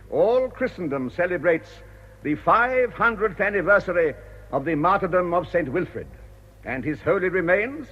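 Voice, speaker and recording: An actor playing an earnest, careworn ageing cathedral canon in a British radio drama, with an English accent and formal, measured clerical diction. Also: male voice, on the high side, breathy edge. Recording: muffled old radio broadcast.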